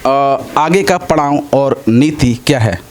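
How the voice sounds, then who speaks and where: A man's voice: medium pitch, takes it slowly, slight background noise. A man working as an interviewer, putting wordy, roundabout questions to a guest.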